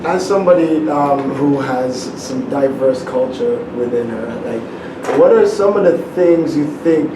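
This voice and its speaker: A man's voice: mid-range voice, measured pace, few pauses. A man co-hosting a casual interview, asking long, rambling, loosely built questions. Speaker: co-host. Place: studio. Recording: roomy, distant mic, noise.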